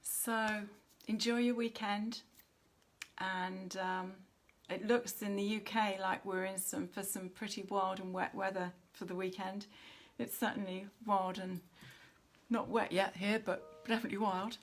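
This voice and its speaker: Woman, mid-pitched voice, slow pace, measured delivery, frequent pauses, spiritual oracle reading, addressing viewers. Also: little pitch movement. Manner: measured, pausing often mid-sentence. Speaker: host of a spiritual card reading.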